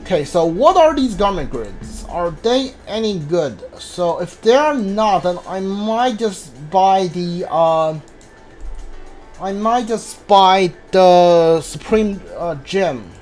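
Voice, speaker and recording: Slow, halting gamer thinking aloud, sex unclear. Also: mid-range voice, expressive, a little mellow, soft background music. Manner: hesitant, halting, muttering to self. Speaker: video game player narrating a playthrough. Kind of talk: casual gameplay commentary, thinking aloud.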